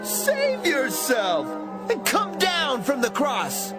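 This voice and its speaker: A woman's voice: sits high, wildly swooping pitch, takes it slowly, full character voice. An actress playing a taunting woman onlooker with a sing-song delivery in a dramatized Bible. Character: jeering female onlooker at an execution. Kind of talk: dramatized audio Bible acting. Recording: loud music behind.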